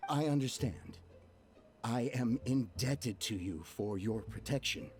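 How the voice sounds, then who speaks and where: A man's voice: medium pitch, darkish tone, natural voice. A man playing a fawning scheming merchant. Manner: slow, obsequious and reassuring. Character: scheming male merchant, fawning to his backers. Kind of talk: video game dialogue.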